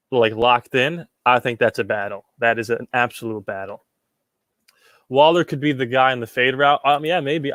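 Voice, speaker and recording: Young guy chatting on his stream, rambling, lots of ums, mid-pitched voice, dry close mic. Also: fairly bright tone, no echo. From Puerto Rico. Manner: rambling, repeats words, frequent ums. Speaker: livestream host answering chat. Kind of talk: casual livestream chat.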